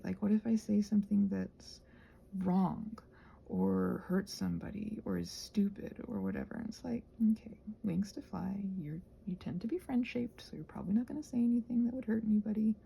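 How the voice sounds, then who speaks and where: A woman in a casual, rambling ASMR vlog, soft-spoken and self-deprecating, thinking aloud in a meandering way and sometimes dropping toward a whisper. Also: medium pitch, creaky, breathy edge. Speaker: ASMR creator rambling while crafting.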